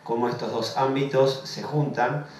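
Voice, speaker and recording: Measured Argentine professor in a reverberant hall. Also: male voice, medium pitch, fairly even intonation, a little mellow. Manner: measured, hesitant, with frequent pauses and fillers. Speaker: visiting sport management professor. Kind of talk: conference lecture introduction. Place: large lecture hall. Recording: echoey hall from far off, faint noise.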